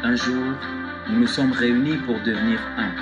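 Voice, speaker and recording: A man reciting a solemn message of peace in a measured, declamatory way. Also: medium pitch, steady tone, dull audio. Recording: spoken over loud guitar music.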